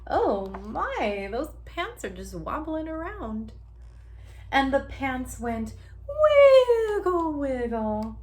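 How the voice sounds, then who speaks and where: A woman's voice: high-pitched, sing-song, extremely slow, a little mellow. A woman reading a picture book aloud to children, with dramatic emphasis and an interactive, inviting manner. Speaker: children's read-aloud storyteller.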